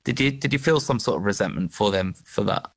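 A male radio documentary presenter who introduces his guest and asks measured, gently probing questions. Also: fairly low pitch, lively intonation, no echo. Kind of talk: broadcast interview.

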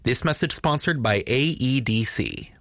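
A man's voice: medium pitch, unhurried, a bit bright, dry recording, studio quality. An American man reading a scripted sponsor announcement on the radio.

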